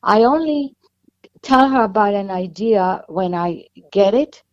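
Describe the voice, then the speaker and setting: Israeli-accented woman, author guest, mid-pitched, talks on and on, muffled line. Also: animated, a little slow. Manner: chatty, explains at length with restarts.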